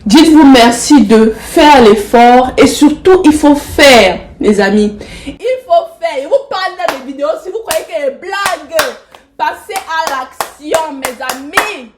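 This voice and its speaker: A young woman with the flu talks straight to her viewers in a fairly high, congested voice. She coaxes them warmly and drives points home by repeating herself. The room around her gives the sound a roomy echo. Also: lively intonation, measured pace, background noise. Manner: affectionate, coaxing, repeats herself for emphasis. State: has the flu, stuffy nose. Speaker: motivational vlogger. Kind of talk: casual motivational talk to camera.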